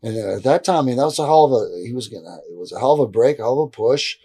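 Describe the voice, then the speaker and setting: Husky middle-aged man telling stories. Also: medium pitch, rapid-fire. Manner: rambling, animated, frequent restarts. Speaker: interview guest. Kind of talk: casual storytelling in a shoot interview. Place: indoor room, seated on a leather couch.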